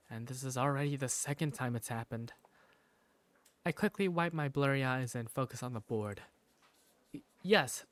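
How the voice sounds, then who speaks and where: A man's voice: a little high, no echo, natural voice. A man playing a sleepy, easily embarrassed teenage schoolboy who narrates a visual novel in the first person. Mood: flustered.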